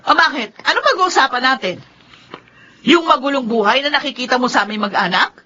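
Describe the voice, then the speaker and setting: Bitter, high sharp-voiced Filipino mother. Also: animated, brisk, slight background noise. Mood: scornful, hurt. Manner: emotional, confrontational delivery. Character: bitter, combative mother, scornful and quick to fury. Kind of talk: Filipino radio drama acting. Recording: dull lo-fi radio drama audio.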